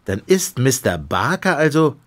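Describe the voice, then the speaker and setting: An actor playing a skeptical, proud English police inspector who asks blunt questions in a German radio play. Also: male voice, mid-range voice, big pitch swings, measured pace, fairly bright tone.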